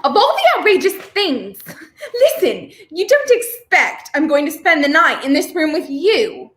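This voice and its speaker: A woman's voice: extremely high pitch, big pitch swings, a little slow, full character voice. A young woman playing a feisty, prim heroine in a 1930s radio play, speaking emphatically. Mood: indignant. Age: young adult. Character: feisty, indignant young woman, handcuffed unwilling companion. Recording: roomy, echoing home recording.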